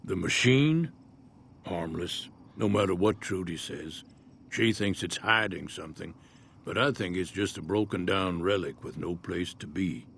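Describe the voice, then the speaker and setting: An actor playing an easygoing older American prospector in a Western-style video game, folksy and laconic in manner. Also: male voice, sits low, unhurried, creaky, a bit bright, slight background noise, heavily acted. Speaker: game character, townsfolk prospector. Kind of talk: video game character dialogue.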